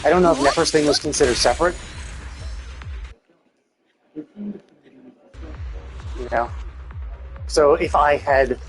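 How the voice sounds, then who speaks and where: A man's voice: on the high side, takes it slowly, long pauses, rough recording. Casual, rambling male game streamer over music. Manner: rambling, thinking aloud, profane. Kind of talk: casual live stream commentary.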